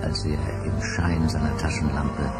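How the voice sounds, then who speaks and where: A man's voice: a bass voice, little pitch movement, measured pace. A man narrating an adventure radio play with dramatic storytelling. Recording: music bed under narration.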